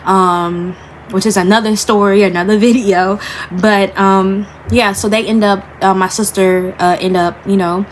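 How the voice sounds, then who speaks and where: Young woman telling a halting personal story. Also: medium pitch, fairly even intonation, slight background noise, poor audio. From Florida. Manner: halting, many fillers and restarts, keeps asking "you feel what I'm saying". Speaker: vlogger. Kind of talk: casual storytime vlog.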